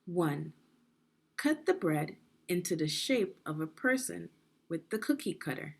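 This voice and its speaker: A woman reading aloud as a teacher for young children, explaining things deliberately and checking in with questions. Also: on the low side, big pitch swings, vocal fry.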